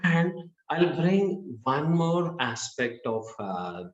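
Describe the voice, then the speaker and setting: A man's voice: a little high, sing-song, slow, muffled recording. A man hosting an interview as a life coach, affirming the guest briefly and summing up his points. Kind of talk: interview chat. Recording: echoey room.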